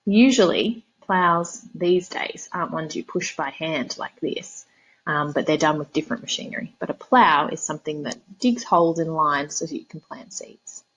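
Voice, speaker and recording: A woman's voice: low voice, moderate pace, a bit bright, husky, poor audio. An Australian woman, a primary teacher, reading a storybook to young children, explaining words and prompting them with questions.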